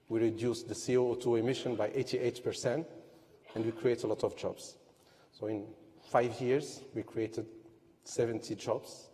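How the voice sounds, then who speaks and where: A man from Morocco, an entrepreneur telling his story on a conference stage, measured and pausing with fillers. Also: lowish voice, warm tone. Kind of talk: personal story on a conference panel. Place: large conference hall. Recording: long hall reverb.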